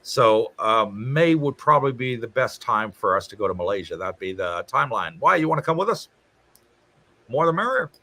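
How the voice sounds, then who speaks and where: A man's voice: mid-range voice, sing-song, moderate pace, pushed and bright. A man hosting a casual livestream from his home, reading out viewer comments and answering them in a chatty way. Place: home in Thailand.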